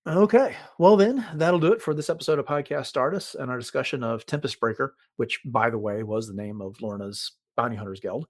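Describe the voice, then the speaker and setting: A man hosting a podcast in casual conversation, sometimes pausing mid-sentence as he explains things. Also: mid-range voice, no echo, studio quality. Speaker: podcast host.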